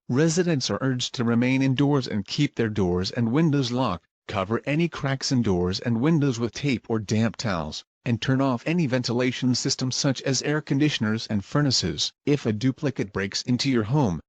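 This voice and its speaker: A man voicing a grave, impersonal emergency alert announcer, reading a scripted warning in a formal, measured way. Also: mid-range voice, no room sound, natural voice.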